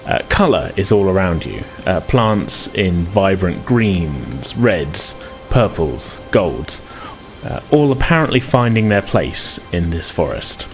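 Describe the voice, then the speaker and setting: A man's voice: low voice, very animated, slow pace, soft background music, low-quality recording. A man hosting a tabletop game podcast and narrating in a conversational, slightly hesitant way. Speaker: podcast host and game master.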